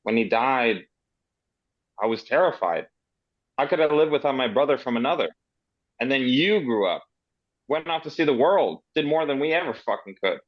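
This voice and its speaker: A man acting a blunt, regretful, foul-mouthed character in a dramatic script read, speaking haltingly. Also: medium pitch, animated, dry recording, highs cut off, lightly acted. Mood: remorseful. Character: gruff, regretful, foul-mouthed man, guilt-ridden cousin.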